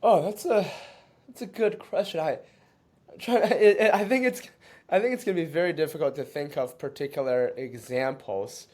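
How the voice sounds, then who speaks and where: Halting, reflective male interview guest. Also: on the high side, a little mellow. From born in China, raised in Canada. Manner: halting, many restarts, laughs often.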